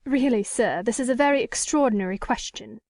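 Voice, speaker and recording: Proud, indignant storybook lady, played by a woman narrator: very high pitch, formal, emphatic, close dry sound. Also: fairly quick, darkish tone, no echo, lightly acted. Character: proud, defiant woman with an unhappy secret past. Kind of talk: dramatic audiobook character reading.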